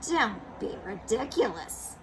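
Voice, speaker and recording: A woman's voice: mid-range voice, sing-song, slow, a little hiss or hum, poor audio. A woman voicing a grouchy, disapproving female neighbor in a storybook, scornful and curt.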